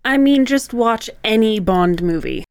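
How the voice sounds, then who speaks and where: A woman's voice: a little high, expressive, measured pace, darkish tone, clear, resonant tone, dry recording. A woman co-hosting a podcast, talking conversationally as she explains a craft. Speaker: podcast co-host.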